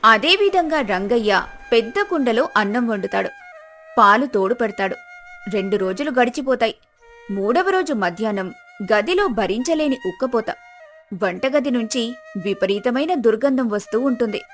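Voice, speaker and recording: A woman's voice: fairly high pitch, lively intonation, moderate pace, fairly bright tone, soft background music. A woman narrating a moral story in a scripted storytelling style.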